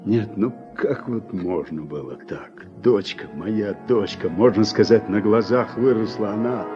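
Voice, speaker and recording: An actor playing a weary, regretful middle-aged war veteran and farm worker in a radio drama, reflective and plain-spoken. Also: male voice, fairly low pitch, measured pace, lightly acted. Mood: wistful, hurt. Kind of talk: Soviet radio drama acting. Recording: muffled old radio recording, music behind.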